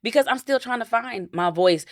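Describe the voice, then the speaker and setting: A woman, talking openly as a guest in a casual interview, animated and self-reflective with many restarts. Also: normal speed, dry recording, clean studio sound. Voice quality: deep voice.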